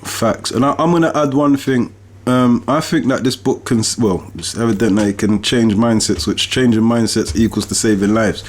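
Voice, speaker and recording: A man's voice: mid-range voice, moderate pace. A man hosting a casual podcast chat in an informal manner, with affirming interjections. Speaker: podcast host and author.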